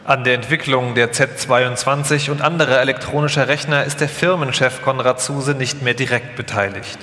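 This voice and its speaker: A man's voice: medium pitch, steady tone, normal speed, bright, forceful tone. A man reading scripted documentary narration live, as the narrator of a radio feature. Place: live stage in a large hall. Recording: echoey hall with long reverb.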